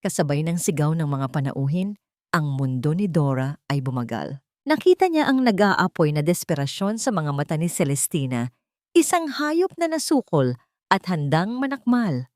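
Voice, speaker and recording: A woman narrating a scripted dramatic story in a measured, theatrical storytelling manner. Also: low-pitched, big pitch swings, darkish tone, no room sound, studio quality.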